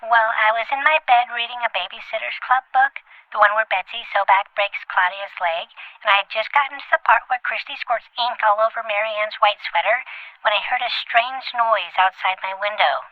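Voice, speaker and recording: A woman's voice: fairly high pitch, moderate pace, dry recording, phone-quality sound, natural voice. A woman playing an earnest, chatty fourteen-year-old schoolgirl in an audio drama, with a plain, rambling teenage delivery. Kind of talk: scripted audio drama acting.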